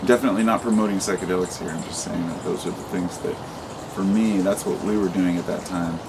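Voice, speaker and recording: A man in his late thirties speaks in a deep, low voice, rambling and impassioned as he vents in an unscripted vlog. He swears freely and often restarts his sentences. Steady traffic noise runs clearly behind him. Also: quick pace. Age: 30s. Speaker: vlogger. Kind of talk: unscripted monologue to camera.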